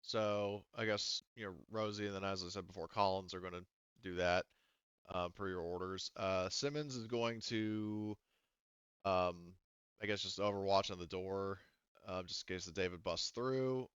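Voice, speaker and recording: A man narrating a tabletop roleplaying game as game master, conversational and halting as he improvises. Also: low voice, a bit bright, clear, resonant tone, dry recording, muffled recording.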